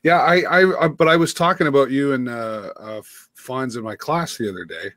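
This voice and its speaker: A middle-aged man co-hosting a casual video call, talking with animated gestures and frequent restarts. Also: medium pitch, moderate pace, no echo, clean studio sound. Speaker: co-host and teacher. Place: home room with framed pictures. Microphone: webcam mic on a video call.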